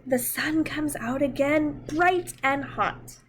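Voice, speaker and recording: Lady doing a kids story read-aloud, really high voice, slow n expressive, bit of background noise. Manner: expressive, simple child-directed delivery with dramatic pauses and emphasis. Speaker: storyteller reading a picture book to young children.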